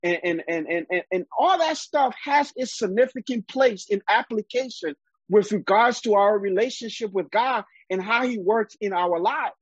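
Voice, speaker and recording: A man preaches a sermon in an emphatic way, repeating words as he gathers his thoughts. His voice sits high and sounds husky. The recording is dry and a little dull. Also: normal speed, no echo. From Liberia.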